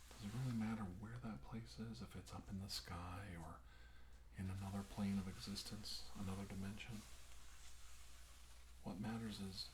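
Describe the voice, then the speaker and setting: A low male voice in a roomy, echoing space with some background noise. A man plays a calm military doctor in a hushed ASMR exam, soft and reassuring, drifting often into whispers. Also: lightly acted. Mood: earnest, reassuring. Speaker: ASMR role-play performer. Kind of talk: soft-spoken ASMR medical role-play. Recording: roomy echo with background hiss.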